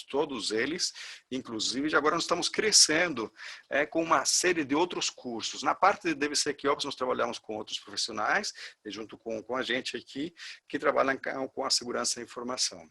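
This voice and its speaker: A man's voice: medium pitch, vocal fry, pushed and bright, slightly rough. A middle-aged man from Chile with a Chilean Spanish accent, Spanish his first language, teaching a class in Portuguese with many fillers and restarts. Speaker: course instructor. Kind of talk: lecture to a class.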